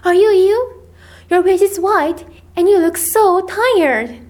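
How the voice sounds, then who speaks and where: A woman voicing a kind, anxious, excitable young schoolgirl who exclaims with earnest concern in a told story. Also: high voice, takes it slowly. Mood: worried.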